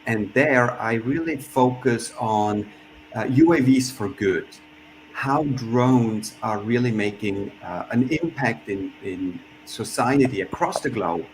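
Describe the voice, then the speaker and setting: A man from Switzerland speaking as a panelist in an online discussion, reflective and pausing often as he restarts his sentences. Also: medium pitch. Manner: reflective, with frequent pauses and restarts. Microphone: video call. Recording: noisy video-call audio.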